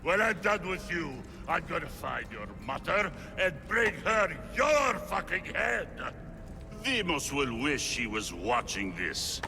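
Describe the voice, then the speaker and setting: An actor playing a brutal, vulgar crime lord in a video game, with a sneering, threatening delivery. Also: male voice, fairly high pitch, sing-song, takes it slowly, creaky, exaggerated voice. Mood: vengeful rage. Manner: sneering, foul-mouthed, threatening. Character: brutal, vulgar, menacing male crime lord of an ancient city. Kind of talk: video game cutscene acting. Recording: long reverb, loud music behind.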